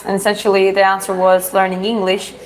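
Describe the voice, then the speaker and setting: A woman's voice: mid-range voice, completely flat delivery, brisk, a little hiss or hum, poor audio. Woman chatting casually. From Georgia. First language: Russian. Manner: hesitant phrasing, reads out viewer questions then answers frankly. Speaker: live stream host. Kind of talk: casual live Q&A chat.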